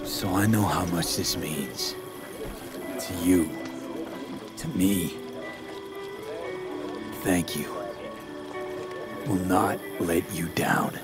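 Deep-voiced, earnest young mercenary. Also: male voice, drawn-out words, long pauses, over loud music. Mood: sincere, subdued. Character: loyal, caring, earnest young male mercenary hero. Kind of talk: video game cutscene dialogue.

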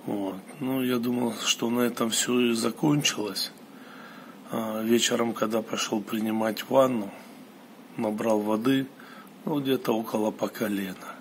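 A man giving a personal monologue, recounting an experience solemnly in short, halting phrases. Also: mid-range voice. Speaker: storyteller recounting a mystical experience. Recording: clear steady background noise.

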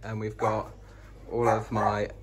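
Low-voiced British man giving a house tour. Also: monotone, slow, a crowd in the background. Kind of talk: casual walk-through narration. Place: inside an old house, walking between rooms. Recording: noisy indoor handheld home recording.

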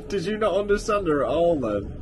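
A man's voice: sits high, very animated, normal speed. A Yorkshire man chatting casually and playfully as a travel vlogger. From Yorkshire, England. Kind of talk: casual vlog chat and voice-over. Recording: noisy handheld travel-vlog recording.

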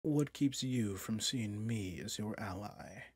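A man playing a possessive villain prince, a smug and coaxing new husband, in an intimate ASMR roleplay, with a husky, breathy voice and a seductively tender menace. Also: on the low side, slow pace. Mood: persuasive, insistent.

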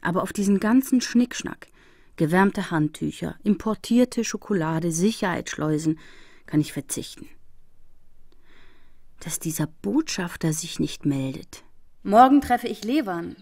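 An actress playing a determined female investigator in a crime audio drama, narrating like a diary in a brisk, matter-of-fact way. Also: on the low side, expressive, a little mellow, no echo, studio quality. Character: determined female investigator reporting on her travels.

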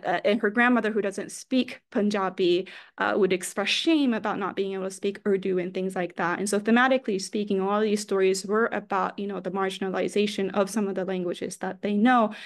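Woman professor, relaxed lecture delivery over a video call, loose with "um" and "right?", mid-range voice. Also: mid-range voice. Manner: casual, many fillers and tag "right?". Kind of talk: academic talk over slides. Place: home or office, video call.

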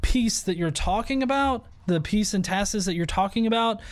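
A man in his thirties hosting a casual debate on a video call, halting and repeating words as he argues his points. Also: high voice. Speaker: host of an atheist debate show. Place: small furnished room at home, video call.

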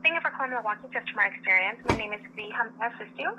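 A woman's voice: fairly high pitch, lively intonation, racing, background noise. A woman working as a customer service agent, speaking politely and professionally on a phone call. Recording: muffled over speakerphone line.

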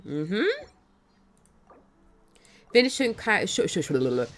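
A woman streaming a video game, chatting in a casual, excitable and giggly way. She has a cold that makes her voice slightly raspy. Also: fairly low pitch, extremely slow. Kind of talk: casual let's-play commentary.

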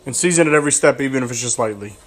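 A man's voice: mid-range voice, very fast, fairly bright tone, slight background noise. A young man casually narrating a home cooking video in his kitchen, joking and brash with streetwise slang. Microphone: phone camera held close.

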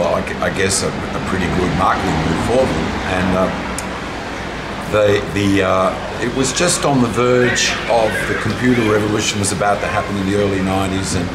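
An older Australian man reminiscing in an interview, with hesitant, thoughtful phrasing. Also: low-pitched. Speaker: interviewee, musician. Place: outdoors at a street cafe wall. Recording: roomy, very noisy outdoor street ambience.

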